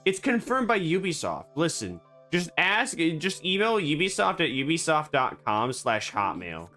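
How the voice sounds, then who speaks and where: A man streaming a game, rambling and joking in absurd deadpan banter. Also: a little high, unhurried, soft background music. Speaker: VTuber streamer. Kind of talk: casual gaming stream chatter.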